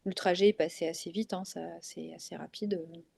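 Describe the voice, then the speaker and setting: A woman's voice: lowish voice, fairly even intonation, a little fast, vocal fry, mellow, husky. A woman in her thirties with a northern French accent, a mother of three, talking casually as a guest with many hesitations. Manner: hesitant, many fillers and restarts. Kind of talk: casual interview chat.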